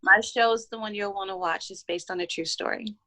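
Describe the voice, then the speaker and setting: A woman from Baltimore, Maryland, talking as an interview guest in a steady, self-assured way. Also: medium pitch, fairly quick. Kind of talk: casual interview talk.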